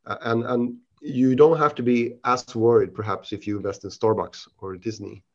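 A man with a Swedish accent and a mid-range voice answers interview questions in a halting, casual way, often restarting his sentences. The audio is dull and lo-fi. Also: mid-range voice, fairly even intonation. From Sweden. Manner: halting, many restarts and fillers. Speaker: podcast guest, investment researcher.

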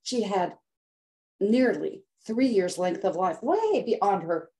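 An older American woman speaking as a podcast guest over a remote call, voice at an everyday middle pitch. She tells her story dramatically and acts out the words of others. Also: animated, slow, dark tone. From United States. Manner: dramatic, acts out quotes with voice shifts. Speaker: guest health coach. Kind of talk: emotional storytelling in interview.

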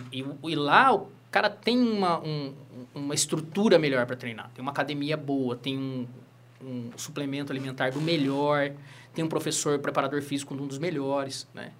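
A man's voice: a little high, a little hiss or hum. A Brazilian man in his thirties, a lawyer and jiu-jitsu teacher, chatting as a guest and telling stories with constant tag questions. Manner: animated storyteller, frequent "né?" tags. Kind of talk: casual interview chat.

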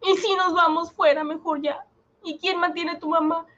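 A man's voice: very high voice, fairly even intonation, normal speed, pushed and bright, dull audio, voice pushed up. A man voicing a fretful, doubting wife who whines and scolds fondly in a comic radio drama. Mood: exasperated. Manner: whining, scolding, affectionate diminutives.

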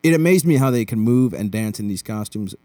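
A man's voice: on the low side, expressive, a little fast, dark tone, no echo. A man hosting a casual interview, chatting in a teasing, joking way with lots of questions. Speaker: podcast host interviewing a guest. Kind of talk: casual chat.

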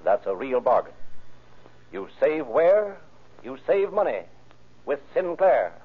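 A man's voice: medium pitch, very animated, slow pace, husky. An American man announcing and narrating from a script in 1930s old-time radio style. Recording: muffled, band-limited old radio recording.

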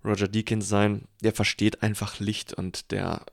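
A man's voice: on the low side, level tone, a little slow, creaky. A man co-hosting a film podcast, chatting casually about movies. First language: German.

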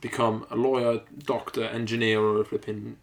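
A man's voice: lowish voice, level tone, moderate pace, gravelly. A man cracking puns in a casual chat among medical students. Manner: jokey, punning.

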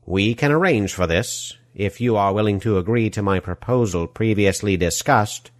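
A man's voice: lowish voice, moderate pace, no room sound, clean studio sound, lightly acted. Man doing a silky, sleazy villain. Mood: oily, amused. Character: smooth, sleazy, flamboyant foreign villain.

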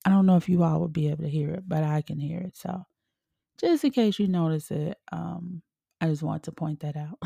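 A woman's voice: on the low side, vocal fry, warm tone, no echo. A woman hosting her podcast in a casual, chatty way, a bit congested from a sinus infection and laughing often. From Chicago, USA. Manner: chatty, laughs often, calls listeners honey and y'all. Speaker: podcast host. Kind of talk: casual chat.

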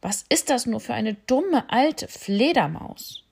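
A woman's voice: fairly high pitch, animated, slow pace, creaky, darkish tone, husky, voice pushed up, full character voice. Woman doing a grumbling young rhino. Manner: muttering, grumbly.

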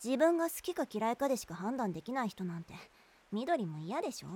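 A woman's voice: on the high side, a little fast, slightly rough. A woman playing a level-headed, earnest schoolgirl. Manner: calm, reasoning and earnest. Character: level-headed teenage schoolgirl, class mediator. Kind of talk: amateur voice drama.

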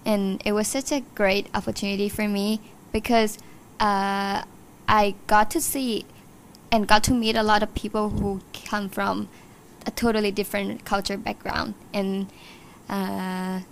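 A woman's voice: medium pitch, flat delivery, a little slow, creaky, slight background noise. A nineteen-year-old woman from Thailand, a guest chatting casually on a podcast, with many fillers. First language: Thai. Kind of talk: casual interview chat.

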